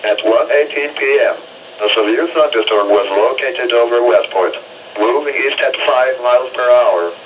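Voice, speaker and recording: Synthetic American male weather radio announcer, mid-pitched, measured scripted read, heard muffled from a distant radio speaker. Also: slight background noise. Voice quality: synthetic text-to-speech voice. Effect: weather radio broadcast. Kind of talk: scripted weather bulletin reading. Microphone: radio receiver picked up by a camera mic.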